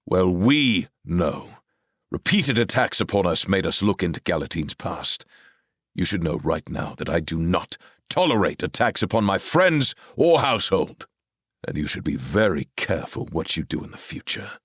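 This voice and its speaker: Man doing a stern commanding king, authoritative, reproving, lively delivery, dry sound. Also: low voice, normal speed, husky, dry recording, full character voice. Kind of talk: audiobook character voice.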